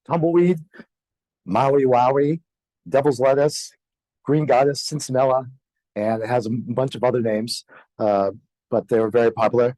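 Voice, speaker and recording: An older man giving a conference lecture with a sore, scratchy throat, halting with many fillers and clearing his throat. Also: mid-range voice, dark tone, dry recording. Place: lecture hall.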